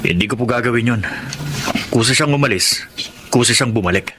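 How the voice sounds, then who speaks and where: A man's voice: mid-range voice, brisk, sharp tone, a little hiss or hum, close to an everyday voice. A man playing a stubborn, resentful young husband arguing in a radio drama. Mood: angry, defiant. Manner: defiant, indignant. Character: stubborn, resentful married son. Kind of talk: Tagalog radio drama acting.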